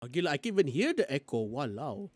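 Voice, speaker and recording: A woman streamer reads a visual novel aloud. Her pitch swings in a lively, theatrical way between narration and casual asides, close on a dry mic. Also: fast talker, breathy edge, dry recording. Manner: theatrical reading with exclamations and asides. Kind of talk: live game reading with casual commentary.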